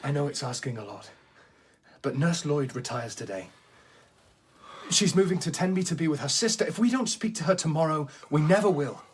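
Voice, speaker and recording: A man's voice: a little high, expressive, brisk, a little hiss or hum, lightly acted. A man playing an earnest, troubled young doctor in a radio drama, polite but pressing. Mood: worried, pleading. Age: young adult.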